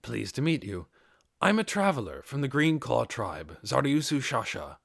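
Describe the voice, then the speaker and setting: A man's voice: mid-range voice, sing-song, normal speed, vocal fry, breathy edge, no echo, studio quality, heavily acted. A man voicing an earnest male lizardman traveler and warrior in an audiobook, informal, plain-spoken and easily flustered. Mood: polite, plain.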